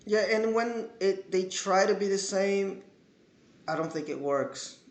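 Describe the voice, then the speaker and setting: A man hosting an interview, asking questions and talking about songs in an animated, enthusiastic way. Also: high-pitched, a little slow, echoey room. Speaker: podcast host. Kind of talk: casual interview.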